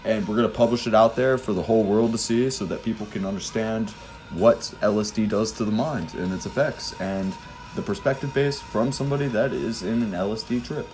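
A man's voice: fairly low pitch, a little fast, a little mellow, soft background music. A young man co-hosting a podcast, chatting loosely and joking around in playful banter. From Ohio. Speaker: podcast co-host. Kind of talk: casual chat.

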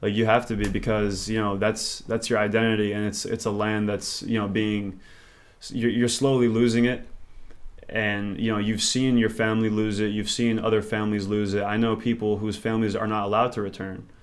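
Reflective man, slightly low voice. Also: little pitch movement, normal speed. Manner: rambling and reflective, thinks aloud. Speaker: interview guest. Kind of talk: casual interview conversation.

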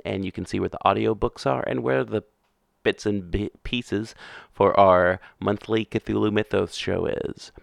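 A man's voice: on the low side, creaky, no room sound, clean studio sound. A man hosting a podcast, talking in a casual, offhand way through intros and plugs. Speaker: podcast host.

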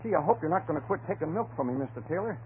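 A man's voice: fairly high pitch, lively intonation, very fast, a little hiss or hum, natural voice. An actor playing a nervous, folksy milkman in a vintage radio drama, chatty, stammering and apologetic. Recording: tinny, humming old radio recording.

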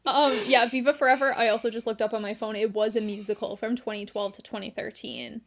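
A woman's voice: fairly high pitch, fairly even intonation, quick pace, very noisy. A woman in her twenties co-hosting a podcast in casual chat, talking with many "like" fillers and small laughs. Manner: chatty, many "like" fillers, giggly. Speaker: podcast co-host.

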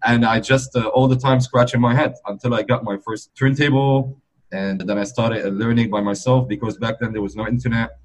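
A man's voice: mid-range voice, fairly even intonation, a little fast. A man in his forties from Lebanon, a DJ guest talking in an easygoing, rambling way over a remote call. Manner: easygoing, rambling, frequent "like" and "you know". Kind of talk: relaxed conversational interview.

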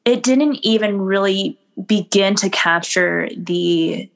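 A woman's voice: mid-range voice, slow, vocal fry. A woman from the United States in casual conversation, chatty and full of fillers, laughing often.

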